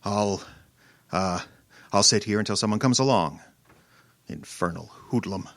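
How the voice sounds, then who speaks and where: A man's voice: lowish voice, a little slow, a bit bright, no echo. An actor playing an irascible, domineering rich older man, imperious and sputtering, who turns cowardly under threat, in old-time radio horror style. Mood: indignant muttering. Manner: blustering, imperious, sputtering and stammering.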